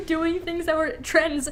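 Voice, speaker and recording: A woman's voice: very high voice, steady tone, slow pace. A young woman co-hosting a podcast, bantering casually in a slangy, joking, exaggerated way. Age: young adult. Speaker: podcast co-host.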